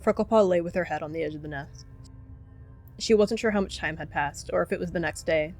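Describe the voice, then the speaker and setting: A woman's voice: fairly low pitch, fairly quick, a little mellow, faint music behind. A woman reading scripted story narration for an animal fantasy roleplay.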